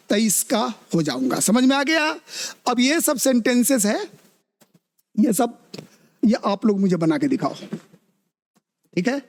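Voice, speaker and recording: A man's voice: very high-pitched, long reverb tail. A man teaching spoken English in Hindi, drawing out his words and constantly asking the class whether they have understood. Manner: slow, drawn-out words, constantly checks understanding with questions.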